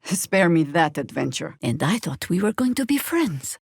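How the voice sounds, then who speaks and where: A veteran druid fighter for a fantasy video game. Her voice sits fairly low for a woman and is recorded dry and close. She sounds sardonic and commanding, with a theatrical weight to her phrasing. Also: sing-song, normal speed, creaky, slightly rough, dry recording, full character voice. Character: seasoned, sardonic female druid warrior and veteran adventurer.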